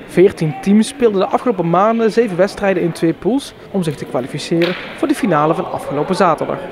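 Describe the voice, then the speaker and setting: A man with a high-pitched voice delivers scripted local news narration. Clear ambient noise runs behind his voice throughout. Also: animated, fast.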